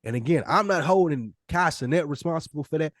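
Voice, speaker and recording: A man's voice: fairly high pitch, animated, a little fast, no echo, studio quality. A man co-hosting a casual podcast, talking bluntly with slang and swearing. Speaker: podcast host.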